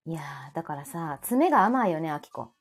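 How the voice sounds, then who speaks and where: Lively kansai woman just chatting casually on a stream, voice swings up and down a lot. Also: low-pitched, fairly quick, breathy edge, soft background music. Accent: Kansai Japanese. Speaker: live audio stream co-host. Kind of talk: casual chat.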